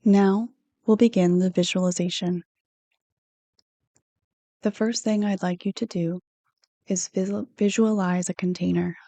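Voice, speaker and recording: A woman reading a guided meditation script in a soothing, unhurried, gently instructive manner. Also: lowish voice, fairly even intonation, no room sound. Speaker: counselor hosting guided meditations.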